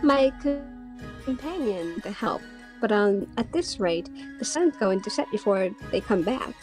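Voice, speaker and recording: A woman's voice: mid-range voice, animated, unhurried, lightly acted. A woman voicing an apologetic, polite young girl traveler in a visual novel game, speaking hesitantly. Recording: music behind the voice.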